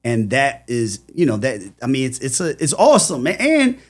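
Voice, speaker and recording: A man, talking casually as a podcast guest in an animated, emphatic, slangy way. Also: mid-range voice, moderate pace. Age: 40s. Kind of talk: casual conversational interview.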